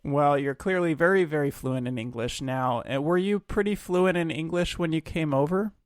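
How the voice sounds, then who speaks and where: A man's voice: on the high side, normal speed, no echo, clean studio sound. A man hosting an interview, responding earnestly and appreciatively to his guest. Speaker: podcast host. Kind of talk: interview conversation.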